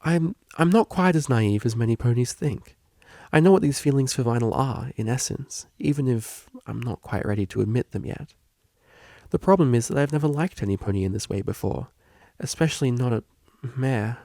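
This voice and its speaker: Man voicing a higher-pitched, earnest young mare cellist. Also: moderate pace, warm tone, dry recording, studio quality, lightly acted. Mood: hesitant, confessional. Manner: hesitant, formal wording. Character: young female pony cellist, polite, anxious, earnest. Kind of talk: fan fiction audiobook character reading.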